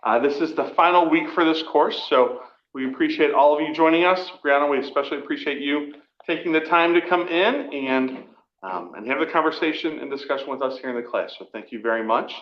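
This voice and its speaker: A man welcomes a class and introduces the speaker in a polite, measured way. His voice sits a little high. The recording is echoey and dull, as if made in a bare classroom. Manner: polite, measured, with a few fillers. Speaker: class host. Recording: echoey room, dull sound.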